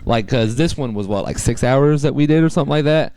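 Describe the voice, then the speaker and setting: A man's voice: medium pitch, fast, mellow, smooth, dry recording. A man co-hosting a podcast, casual and crude, rambling and laughing easily as he talks. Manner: swearing, laughing, loose rambling. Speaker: podcast co-host.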